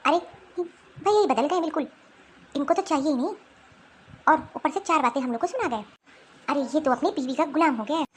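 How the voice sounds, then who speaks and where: Spiteful cartoon sister-in-law, played by a woman: very high voice, accusatory, needling, complaining. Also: brisk, pauses often, a little hiss or hum, lightly acted. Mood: bitter complaining. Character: spiteful, meddling adult sister-in-law, scornful troublemaker. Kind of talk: Hindi cartoon family drama acting.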